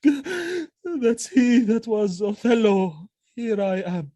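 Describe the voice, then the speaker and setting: An anguished, proud general speaks in slow, weighty Shakespearean verse. The voice is mellow and recorded close and dry, with a declamatory, grief-laden manner. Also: male voice, very high-pitched, no room sound, full character voice. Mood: bitter, subdued. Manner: declamatory, emotionally heavy, drawn-out phrasing. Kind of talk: Shakespearean audio drama acting.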